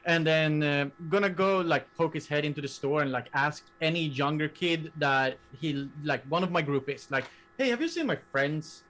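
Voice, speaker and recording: A man's voice: a little high, steady tone, moderate pace, hardly any pauses, a bit bright. A man talking casually as a player, hesitant and chatty. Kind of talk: casual chat.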